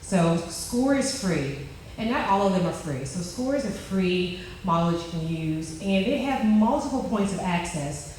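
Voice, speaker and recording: A woman with a loud voice presenting a project in a chatty way, restarting her sentences often. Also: on the low side, expressive, moderate pace, a little hiss or hum. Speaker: team presenter. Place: large echoey meeting room. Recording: echoey room from far off.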